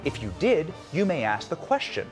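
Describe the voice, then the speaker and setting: A man's voice: a little high, wildly swooping pitch, moderate pace, soft background music. A man delivering a scripted political monologue as a commentator.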